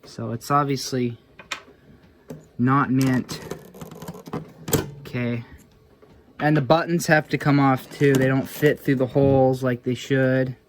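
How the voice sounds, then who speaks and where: A man's voice: mid-range voice, steady tone, slow, pauses often, background noise. A man giving casual, hands-on repair commentary as a hobbyist, thinking aloud in an informal way. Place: indoors.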